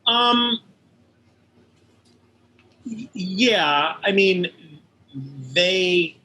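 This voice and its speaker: An older American man recalling his work as an interview guest, in a halting way that circles back over words. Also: high voice, sharp tone, slight background noise, low-quality recording. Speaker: interview guest, animation studio veteran. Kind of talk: conversational interview recollection.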